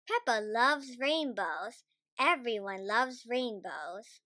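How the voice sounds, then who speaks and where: A woman reads a children's storybook aloud with a high, very animated voice. She goes slowly and carefully, expressive with the scripted narration, in a bright, dry sound. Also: dry recording. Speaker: storybook reader.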